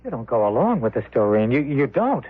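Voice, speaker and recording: A man's voice: mid-range voice, big pitch swings, a little fast, husky. An actor playing a restless, frustrated younger man in an old radio drama, terse and agitated. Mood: indignant. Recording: muffled, narrow-band old radio broadcast.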